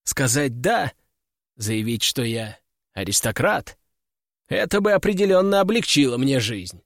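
A man's voice: mid-range voice, big pitch swings, moderate pace, dry recording, studio quality. A man narrating a fantasy audiobook in the first person, in a wry, ironic tone.

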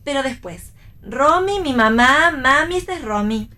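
A young pregnant Argentine daughter in a radio play. Her voice is high and swings freely up and down. She is playful, brisk and plain-spoken, with theatrical delivery. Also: expressive, pushed and bright, full character voice. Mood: matter-of-fact.

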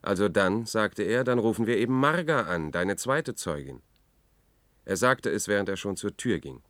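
Disbelieved male reporter, low-pitched, brooding, intense, measured narration, radio drama, dry sound. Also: lively intonation, fairly bright tone, no echo. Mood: bitter, brooding. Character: haunted male reporter, disbelieved, obsessive and increasingly unravelling. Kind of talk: German radio drama, first-person narration.

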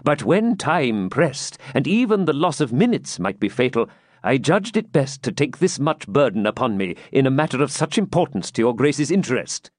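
Shrewd medieval courtier for a storybook, male reader: controlled, formal archaic diction, expressive pitch, very dry sound. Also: moderate pace, no echo. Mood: placating.

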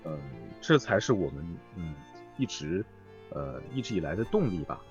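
A man chatting as a voice actor guest in a live interview, phrasing things haltingly. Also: low voice, very animated, a little mellow, dull audio, faint music behind. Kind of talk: casual live interview chat.

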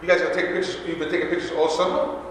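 A man's voice: on the high side, little pitch movement, racing, a little hiss or hum. A man working as a radio host, chatting informally and colloquially as he interviews people live, often repeating his phrases. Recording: echoey hall, distant mic, music behind.

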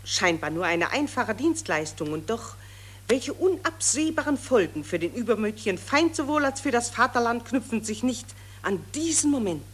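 A pushy war reporter. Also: female voice, on the high side, big pitch swings, moderate pace, breathy edge. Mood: gushing. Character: pushy female war reporter, gushing and insistent. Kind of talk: radio play acting.

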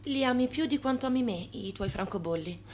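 An actress playing a yearning, reproachful young wife in radio theatre, speaking in a plaintive, insistent way. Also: female voice, a little high, animated, a little fast, darkish tone, natural voice. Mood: hurt.

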